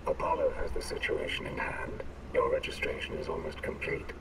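An actor playing a courteous, detached android receptionist in a video game, formal and eerily calm, heard at a distance. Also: male voice, brisk, slight background noise, lightly acted. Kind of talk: scripted video game character acting.

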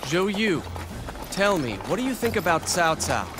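A young, hot-headed warlord in a video game drama. His voice sits high for a man, with an eager, cocky, informal way of talking. Music plays clearly behind him. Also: very animated, normal speed. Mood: musing, defiant.

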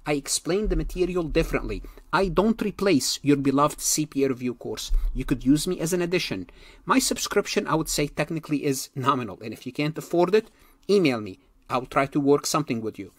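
Male instructor, slightly high voice, emphatic step-by-step explainer who restates key points; dry voice-over recording. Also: expressive, brisk, no echo. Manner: emphatic, repeats phrases for clarity. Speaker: accounting instructor. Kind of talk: explanatory exam-prep lecture over slides.

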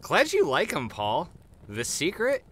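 A man's voice: a little high, wildly swooping pitch, a little slow, fairly bright tone, breathy edge, heavily acted. A man voicing an earnest, polite, dreamy frontiersman who loves trees, in an audio drama.

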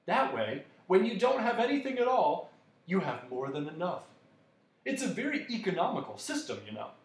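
Male reader as storybook math wizard, insistent, matter-of-fact, lively swings in pitch, echoey. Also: normal speed, recorded from a distance. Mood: impatient explaining. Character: insistent, impatient male mathematician-magician, a blithely logical host. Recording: echoey room.

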